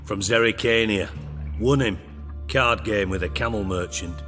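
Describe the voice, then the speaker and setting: An actor playing a menacing, blunt underworld boss with dry sarcasm in a video game. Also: male voice, on the low side, moderate pace, a bit bright, big-room sound, soft background music, lightly acted. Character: menacing, sardonic, foul-mouthed male underworld crime boss.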